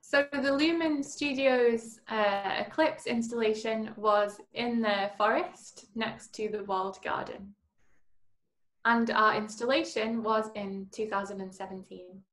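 A woman's voice: a little high, steady tone, measured pace, fairly bright tone. A woman from Manchester, an artist explaining her gallery's exhibitions on a video call, with some fillers. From Manchester, England.

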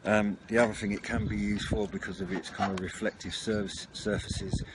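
A man's voice: on the low side, level tone, fairly quick. A man giving an informal, slightly rambling and hesitant run-through to camera, recorded outdoors at a table on a camera mic. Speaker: video channel host. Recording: noisy outdoor home recording.

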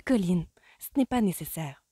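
Woman doing a gentle anxious young lady, soft hushed voice, storybook reading, dry sound. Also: medium pitch, moderate pace, no echo, pitched above the performer's own voice, close to an everyday voice.

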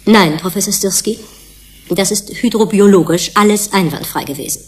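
An actress playing a firm, matter-of-fact hydrobiologist in a German radio drama. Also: female voice, mid-range voice, normal speed, natural voice.

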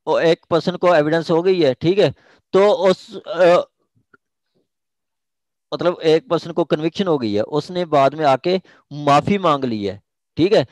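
A man's voice: fairly high pitch, darkish tone, no room sound, low-quality recording. Pakistani man lecturing, dry close sound. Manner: repeats key phrases, switches between Urdu and English. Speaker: law lecturer. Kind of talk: informal lecture explaining a legal text.

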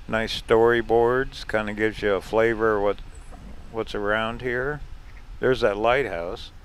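Chatty low-voiced man vlogging outdoors. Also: steady tone, measured pace, clean tone. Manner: chatty, chuckles often, talks to the camera. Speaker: RV travel vlogger. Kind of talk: casual narrated travel vlog. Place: outdoors and in a car on a ferry.

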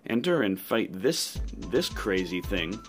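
A man with a fairly low voice talks through a video game. He gives casual walkthrough commentary as host, with music playing behind him. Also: very animated, slow.

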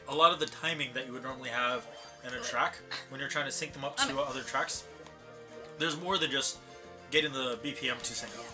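Rambling man chatting over game music. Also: mid-range voice. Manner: rambling, laughs often, reads character lines in a lifted voice. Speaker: let's play host. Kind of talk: casual gaming chat with friends.

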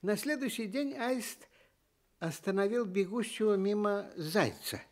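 A man narrating a scripted children's story for radio in a deliberate, dramatic way. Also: high voice, lively intonation.

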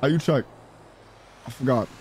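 A man's voice: mid-range voice, moderate pace, a little mellow, rough recording. A man streaming a game, chatting casually in street slang. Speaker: live streamer. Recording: game sirens and noise behind.

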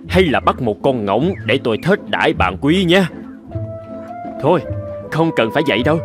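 A man's voice: mid-range voice, lightly acted. Man acting a courteous countryman over music. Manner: polite, formal, deliberate phrasing. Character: courteous men of a countryside fairy tale, host and guest. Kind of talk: animated fairy tale dubbing. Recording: background music under the voice.

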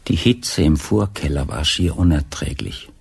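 A man narrating a radio crime play in a measured, literary style. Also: very deep voice, lively intonation, creaky, a little mellow, breathy edge. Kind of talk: scripted narration.

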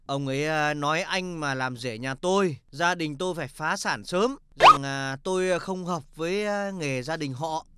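A man's voice: a little high, bright, forceful tone, slight background noise. A man voicing a dejected young football star in a comedy cartoon, speaking hesitantly. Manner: hesitant, halting. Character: dejected young male football star rejected by his girlfriend's father.

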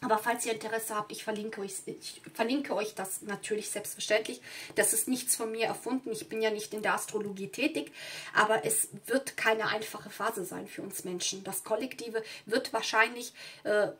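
A woman's voice: fairly high pitch, fairly even intonation, moderate pace, a bit bright, slight background noise. A woman who is not a native German speaker, giving a casual, rambling tarot reading at a table indoors, with many fillers and asides. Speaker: tarot reader. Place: indoors at a table with candles and flowers.

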